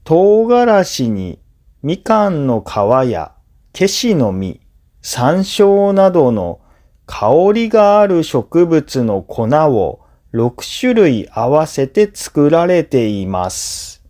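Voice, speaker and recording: A man narrating a scripted listening lesson for language learners, phrasing deliberately in short segments. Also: a little high, big pitch swings, mellow. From Japan.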